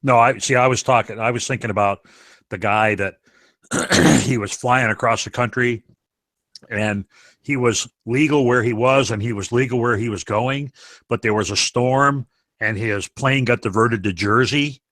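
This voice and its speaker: A man's voice: mid-range voice, moderate pace, a bit bright, no room sound. A man chatting casually in a group, asking questions and telling stories.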